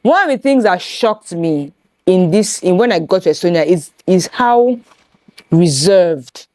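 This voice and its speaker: A Nigerian woman hosting a casual chat with friends, animated and slipping into Yoruba phrases. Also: on the low side, measured pace, no echo. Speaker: host.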